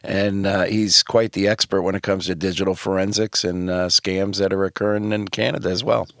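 A man's voice: low-pitched, steady tone, a little fast, gravelly, a bit bright, no echo, clean studio sound. A man, co-hosting a podcast in a conversational style. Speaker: podcast co-host.

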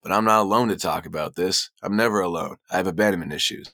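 Hoarse, jokey podcast host. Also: male voice, low voice, quick pace, pushed and bright, no room sound, studio quality. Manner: jokey, self-deprecating. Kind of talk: casual sports podcast chat.

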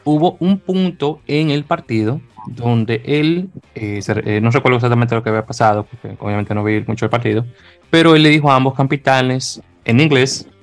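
A man's voice: medium pitch, moderate pace. Male host, chatty, stumbles and restarts, laughs a lot, faint music behind. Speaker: podcast host. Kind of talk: casual chat.

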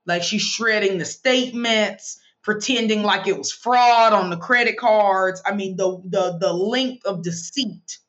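A woman's voice: mid-range voice, a bit bright. Woman in her thirties, financial planner, frank, emphatic, slightly dull lo-fi audio. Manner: brisk, emphatic, frank advice-giving. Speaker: financial planner and money coach. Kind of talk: candid interview talk.

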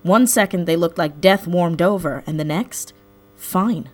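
Actress as wry female team techie, audio drama, lowish pitch, plain delivery. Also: a little slow, soft background music, natural voice. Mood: grave, matter-of-fact. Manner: wry, matter-of-fact. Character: wry, sharp female tech specialist on a covert team. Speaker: voice actor in a fiction podcast. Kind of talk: scripted audio drama acting.

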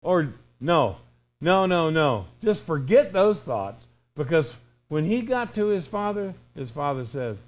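Folksy older male preacher, higher-pitched voice. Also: very animated, unhurried. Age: 60s. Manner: folksy, acts out imagined dialogue, emphatic repetition. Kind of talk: informal, conversational sermon.

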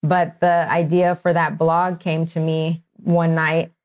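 A woman from Texas speaks as a guest on an interview show. Her voice sits low and stays quite level. She talks in a measured, earnest way, sometimes restarting a phrase. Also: little pitch movement, a little mellow. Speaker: physician guest. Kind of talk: conversational interview answers.

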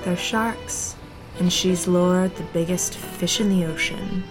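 A woman's voice: fairly low pitch, steady tone, takes it slowly, low-quality recording. A woman playing the insistent, tormenting ghost of a dead woman in an audio drama. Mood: ominous. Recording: music under the voice.

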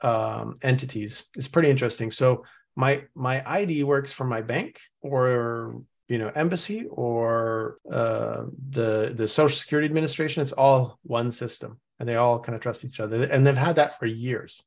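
A man's voice: medium pitch, normal speed, creaky. Man musing on a podcast. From Norway. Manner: thinks aloud, reading and musing with many restarts. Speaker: podcast host. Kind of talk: casual podcast chat. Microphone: remote call setup.

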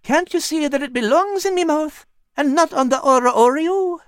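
Storybook: narrator voicing a greedy nervous male cat, folksy dialect, pitched high. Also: moderate pace, a bit bright, dry recording, clean studio sound. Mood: protesting. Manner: folksy dialect, says lass and me. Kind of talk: storybook character voice.

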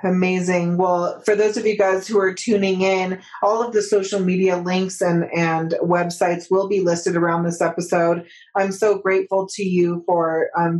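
A woman's voice: fairly low pitch, a little mellow, rough recording. A woman hosting a podcast interview, effusive and thankful toward her guest. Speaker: podcast host.

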